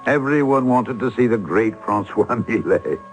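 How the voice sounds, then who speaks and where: An actor playing a smooth-talking artist and schemer in an old-time radio drama, wry and dry in his storytelling. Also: medium pitch, lively intonation, moderate pace, a little hiss or hum, natural voice, rough recording.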